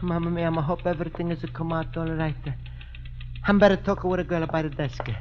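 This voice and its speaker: A man's voice: a little high, flat delivery, fairly quick, lightly acted. An actor playing a naive, good-hearted Italian immigrant man with earnest broken English and a thick Italian accent, in a radio comedy. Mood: polite, hesitant. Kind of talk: 1950s radio situation comedy acting. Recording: dull, noisy old radio broadcast.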